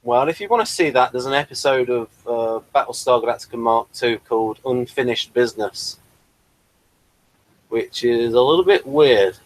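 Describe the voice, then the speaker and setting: A man's voice: medium pitch, normal speed, rough recording. A man hosting a casual, conversational review discussion. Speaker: podcast host.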